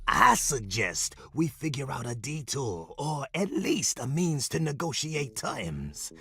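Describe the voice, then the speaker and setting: An actor playing a brash, streetwise, wisecracking sidekick in a video game, with slangy, theatrical delivery. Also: male voice, mid-range voice, big pitch swings, slow, pushed and bright, slightly rough, heavily acted. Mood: cautious. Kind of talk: video game cutscene acting.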